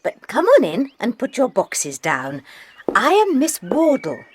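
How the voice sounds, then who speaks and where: A kind fussy lady companion in a kids audio play, highish voice that swoops all over, really earnest. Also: huge pitch swings, measured pace, slightly rough, exaggerated voice. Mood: apologetic, welcoming. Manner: earnest, emphatic, fussing. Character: kindly, fretful older female companion to an old lady. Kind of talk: children's audiobook dramatization.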